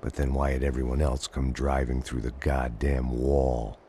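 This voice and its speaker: An actor playing a cynical, world-weary American bodyguard in a video game, terse and sardonic in noir style. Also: male voice, a bass voice, flat delivery, normal speed, a little hiss or hum. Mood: brooding. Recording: music loud behind the voice.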